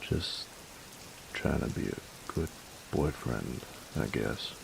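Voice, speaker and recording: Man acting a very deep, gravelly bully boyfriend. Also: full character voice. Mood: gruff, flustered. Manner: gruff, teasing, halting when tender. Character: gruff young bully boyfriend, teasing but secretly tender. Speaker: voice actor in roleplay audio. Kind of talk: intimate audio roleplay, boyfriend monologue. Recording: hiss with rain sounds behind. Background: steady rain.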